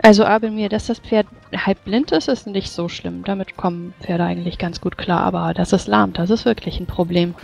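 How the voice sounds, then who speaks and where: A woman's voice: lowish voice, fairly even intonation, a little fast, vocal fry, hardly any pauses, a little mellow, breathy edge. A woman playing a brusque, pragmatic member of an order in a tabletop roleplay, blunt and matter-of-fact. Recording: slightly dull voice-chat sound, music behind.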